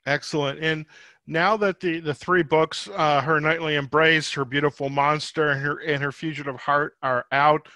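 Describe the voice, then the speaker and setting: Podcast host, male, slightly high-pitched and bright, dry close sound, halting conversational delivery. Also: steady tone, no echo. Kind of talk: conversational interview hosting.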